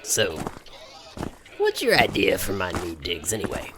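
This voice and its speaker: A man's voice: low voice, huge pitch swings, unhurried, noisy background, full character voice. A man playing a folksy, brash but easily flustered space trucker with a Southern US accent in an audio drama. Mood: curious, wry. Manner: drawling folksy talk, blustering. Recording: music behind the voice.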